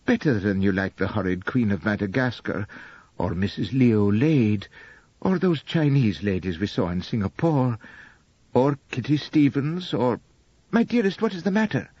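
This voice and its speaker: A man voicing a sweet, silly, adoring young British wife, gushing artlessly in a breathy audiobook performance. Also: medium pitch, expressive, normal speed, mellow, no echo, dull audio. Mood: coy, affectionate. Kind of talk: audiobook character acting.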